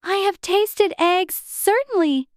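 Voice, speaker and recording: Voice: very high voice, takes it slowly, dry recording, studio quality, pitched above the performer's own voice. A narrator voicing a polite, earnest and easily puzzled little girl in a dramatized audiobook. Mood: insistent. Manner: earnest, proper, hesitant. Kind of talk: dramatized audiobook character reading.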